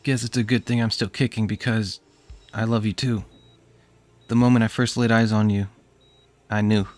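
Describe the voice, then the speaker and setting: A man's voice: fairly low pitch, little pitch movement, normal speed, a little mellow, lightly acted. A man playing a wounded, laconic soldier with a fond romantic side in a radio drama. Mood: weak, fond.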